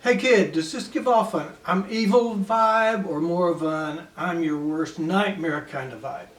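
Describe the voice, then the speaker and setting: A man's voice: sits high, unhurried. A man playing a sleazy, irritable henchman in his late fifties, brash and grumbling, in a comedy script read. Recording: echoey room, distant mic.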